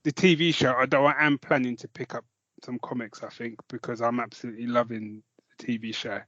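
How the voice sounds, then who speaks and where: A man's voice: medium pitch, steady tone, brisk, sharp tone, dry recording, highs cut off. A man chatting casually as a podcast co-host, rambling and jokey in a self-deprecating way.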